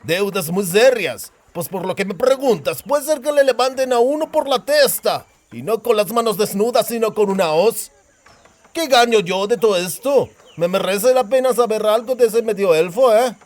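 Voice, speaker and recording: A spiteful, grasping dwarf from a fantasy audiobook. The voice sits far higher than ordinary male speech. He haggles slyly in coarse slang, always needling for profit. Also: lively intonation, normal speed. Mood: sly, menacing.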